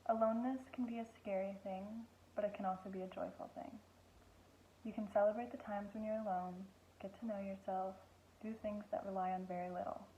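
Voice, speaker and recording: A woman's voice: medium pitch, steady tone, brisk, mellow. A woman reading a prepared reflection as part of a worship service. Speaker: worship reader. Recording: dull lo-fi with faint noise.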